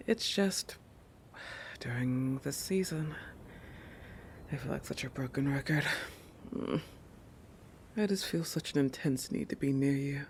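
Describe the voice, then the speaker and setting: A possessive shark predator played by a man speaks slowly in a creaky voice, often sinking to a breathy whisper. The delivery is intimate roleplay audio, musing and oblivious, full of thoughtful hums. A faint rumbling noise sits underneath. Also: natural voice. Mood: flustered.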